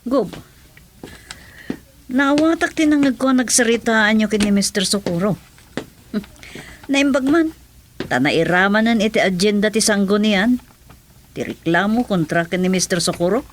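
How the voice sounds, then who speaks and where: A woman's voice: mid-range voice, animated, a little slow, long pauses, a little hiss or hum, lightly acted. A woman acting an earnest, concerned aide to a governor in an Ilocano radio drama, with an Ilocano accent.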